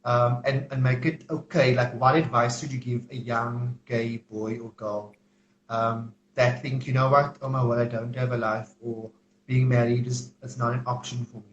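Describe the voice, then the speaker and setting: A man hosting a live video interview, asking friendly, halting questions. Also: mid-range voice, level tone, dull audio. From South Africa. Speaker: host. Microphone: video call. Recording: echoey video call with faint hum.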